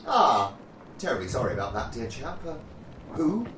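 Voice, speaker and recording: An actor playing a jolly, pompous upper-class British army captain in a radio play, with plummy, politely hesitant speech. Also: male voice, mid-range voice, wildly swooping pitch, normal speed, a bit bright. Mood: regretful. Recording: roomy, distant mic, faint noise.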